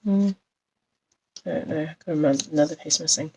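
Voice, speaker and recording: A New woman with a croaky voice from a cold, chatting as she demonstrates a papercraft card on a live stream and greets viewers by name. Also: deep voice, a little fast, a little mellow, poor audio. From New Zealand.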